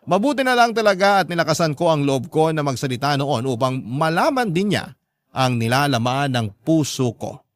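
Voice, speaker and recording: A man's voice: fairly high pitch, lively intonation, moderate pace. A man with a Filipino accent reading out a listener's heartfelt letter as scripted radio drama narration.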